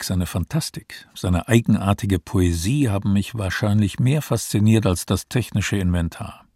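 Male literary voice: lowish, rough-edged, measured phrasing, close and dry. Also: darkish tone, no room sound. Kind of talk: measured literary reading or reflection.

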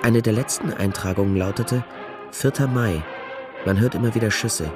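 An actor playing a dogged male private detective in a 1930s crime radio drama, explaining things in a deliberate, matter-of-fact way. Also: lowish voice, close to an everyday voice. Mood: reflective. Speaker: detective and first-person narrator. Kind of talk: German radio crime drama acting and narration. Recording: studio radio drama with music underneath.

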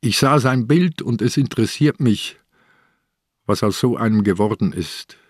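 An actor playing a sick old police commissioner, blunt and insistent, in a German radio crime drama. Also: male voice, mid-range voice, moderate pace, husky, no echo, studio quality, natural voice. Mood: guarded, wry. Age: older.

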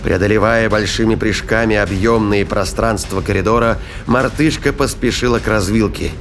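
A man's voice: on the low side, a little hiss or hum. A man narrating a science fiction audio drama in a scripted, dramatic storytelling style. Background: prominent music bed.

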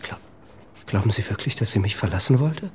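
An actor playing an anxious, worn-out husband with a drinking habit, answering questions in a halting, defensive way in a radio drama. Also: male voice, on the low side, animated, slight background noise, natural voice. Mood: defensive, uneasy. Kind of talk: naturalistic radio drama acting.

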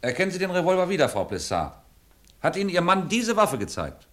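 Stern, pressing male inspector for crime radio drama; rapid, animated delivery. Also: high-pitched. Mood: probing, controlled. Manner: clipped, pressing questioning. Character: stern, insistent male police inspector, sharp interrogator. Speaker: actor in a crime radio play.

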